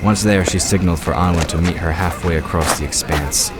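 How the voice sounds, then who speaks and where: A man with an exceptionally low voice narrates a fantasy audio drama. He reads scripted prose as the story's narrator, with music clearly playing behind him. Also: steady tone, a little fast.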